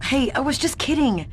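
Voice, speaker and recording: A woman playing a plucky female fighter in a video game cutscene, with a playful, teasing delivery. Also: sits high.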